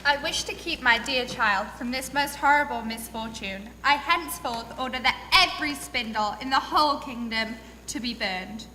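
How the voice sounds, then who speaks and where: Commanding stage-storybook monarch, a king, read by a woman, high register, reverberant noisy recording. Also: moderate pace, hardly any pauses, sharp tone. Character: doting, commanding king of a fairy tale. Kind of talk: readers theatre stage reading.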